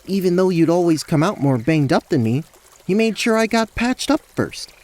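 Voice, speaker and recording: A man's voice: high-pitched, big pitch swings, normal speed, a little mellow. A man playing a nervous, guilt-ridden, earnest former fiancé who stammers in an acted fantasy audio drama. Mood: earnest, flustered. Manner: stammering, hesitant.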